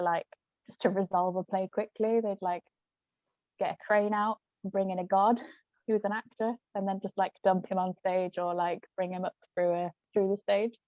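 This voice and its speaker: A woman's voice: medium pitch, no room sound, phone-quality sound. A woman, a guest author and actress, explaining terms in casual conversation.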